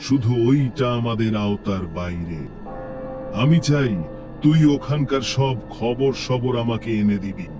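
A man's voice: medium pitch, animated, close to an everyday voice. A man voicing a commanding, cautious demon overlord in a horror fairy-tale cartoon. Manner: commanding, measured. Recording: music under the voice.

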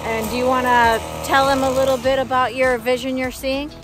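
The higher-pitched woman showing viewers around her land on a homemade outdoor vlog, music playing along. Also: flat delivery, brisk, rough recording. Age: middle-aged. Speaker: vlog host. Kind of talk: casual chat to camera. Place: outdoors in a pine clearing. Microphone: handheld phone at arm's length.

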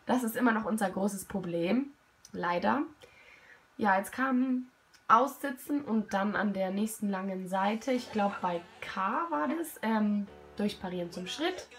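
A hoarse young woman with a cold, talking casually and self-critically over a video of her riding. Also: medium pitch, animated, measured pace. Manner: self-critical, chatty, many fillers. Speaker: rider commenting her own show-jumping test video. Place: at home, voice-over.

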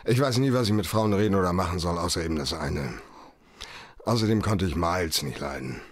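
An actor playing a cynical, hard-boiled private detective in a radio crime play, terse and dry. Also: male voice, sits low, animated, fairly quick. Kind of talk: radio play acting in German.

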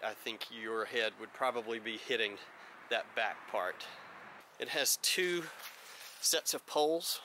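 A man's voice: medium pitch, bright, forceful tone, slight background noise. An American man around forty giving a casual gear review in vlog style. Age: 30s to 40s.

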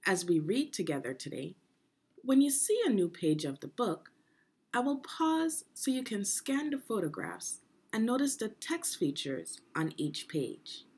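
A middle-aged woman with a slightly husky voice, giving a deliberate, instructive read-aloud lesson for young children. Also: medium pitch, darkish tone. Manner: deliberate, instructive, with pauses and questions. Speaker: education consultant reading aloud to children.

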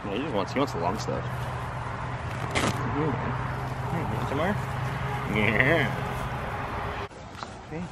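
A man speaks softly and easily, feeding animals outdoors, his voice lively in its rise and fall. Clear outdoor noise sits around him. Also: medium pitch, takes it slowly, pauses often. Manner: quiet, easygoing, talking to animals. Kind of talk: casual chat. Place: outdoors on a farm.

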